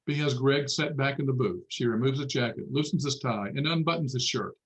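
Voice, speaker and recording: A man's voice: medium pitch, fairly quick. A man narrating stage directions matter-of-factly at a screenplay table read.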